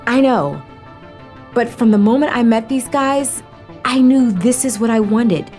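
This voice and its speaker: A woman's voice: a little high, expressive, unhurried, a little mellow, faint music behind. An actress playing an earnest, resolute young female resistance fighter in an audio drama. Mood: pleading, wistful.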